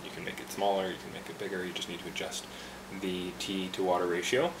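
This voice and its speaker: A man working behind a tea bar casually explains how to brew a green tea, talking in a relaxed way with fillers and restarts. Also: low-pitched, fast talker, echoey room, background noise. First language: English. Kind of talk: casual instructional demonstration.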